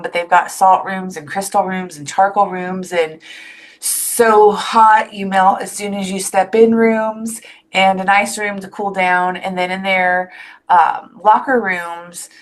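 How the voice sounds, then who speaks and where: Chatty woman podcast host. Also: mid-range voice. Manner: chatty, enthusiastic, rambling asides. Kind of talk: casual interview chat.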